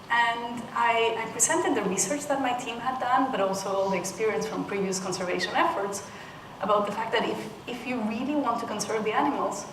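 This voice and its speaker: A woman from Peru giving a conference talk, thoughtful, with frequent restarts and soft laughs. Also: a little high. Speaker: panel speaker. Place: conference room. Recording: roomy, distant mic with noise.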